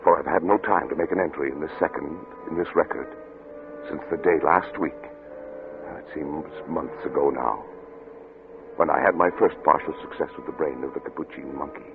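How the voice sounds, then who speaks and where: Deep-voiced, imperious scientist, noisy old radio. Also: male voice, fairly even intonation, normal speed, gravelly, narrow, tinny audio. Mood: weary, grave. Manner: curt, commanding. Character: driven, imperious scientist father, cold and obsessive. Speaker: actor in a radio drama. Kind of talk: old-time radio drama acting.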